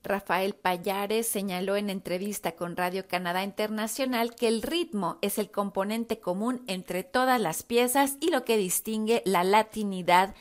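Woman, radio correspondent, mid-pitch, clear friendly read. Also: a little slow, few pauses. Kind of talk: news report.

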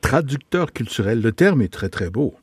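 A man hosting a radio interview, measured and smooth in his questions. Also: medium pitch, big pitch swings. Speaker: radio host.

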